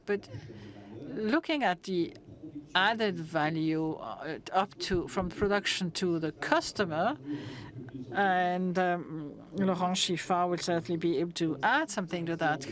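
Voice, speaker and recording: A woman from Germany with a German accent, giving a halting conference talk full of restarts and fillers. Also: on the low side, crowd noise. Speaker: conference speaker. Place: conference hall with an audience.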